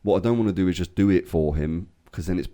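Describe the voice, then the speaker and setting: Low-voiced english guy getting over a cold, lively up-and-down delivery, warm dark sound. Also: fast. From England. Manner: swears freely, lively storytelling. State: recovering from a cold. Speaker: podcast co-host. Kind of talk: casual chat.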